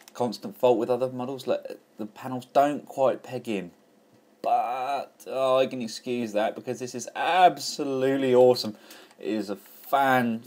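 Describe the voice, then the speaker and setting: Man, lower voice, upbeat hobbyist reviewer talking through a toy. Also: darkish tone. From England. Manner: enthusiastic, chatty, with frequent fillers and restarts. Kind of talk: casual toy review walkthrough.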